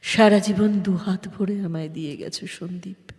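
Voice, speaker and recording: A woman's voice: medium pitch, fairly even intonation, a little mellow, lightly acted. A woman playing a proud rich woman, a remorseful swindler in love, in theatrical, heavily emotional audio drama style. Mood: tender, remorseful. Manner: theatrical, slow, heavily emotional delivery with breathy whispers. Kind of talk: Bengali audio drama acting. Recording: long hall reverb, faint music behind.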